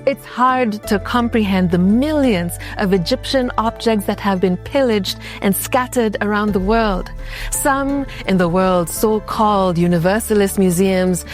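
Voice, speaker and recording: A woman hosting a podcast, narrating a scripted episode in a deliberate, measured way. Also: mid-range voice. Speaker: podcast host.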